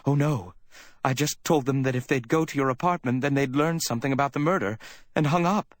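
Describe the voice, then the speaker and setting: A man's voice: mid-range voice, brisk, no echo, studio quality, pitched above the performer's own voice, lightly acted. A man voicing a distraught, jealous woman in an audiobook, with a choked, whimpering, pleading delivery. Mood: anxious. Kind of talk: dramatic audiobook character reading.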